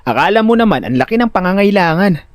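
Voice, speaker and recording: Animated higher-pitched man narrating a story. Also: fast talker. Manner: expressive, comically exasperated. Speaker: narrator and lead voice actor. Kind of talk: first-person dramatic audio story narration.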